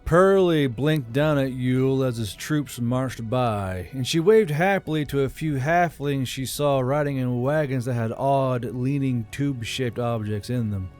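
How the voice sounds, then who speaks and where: Male narrator, medium pitch, for scripted fantasy audiobook storytelling. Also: a little slow, few pauses, darkish tone, soft background music.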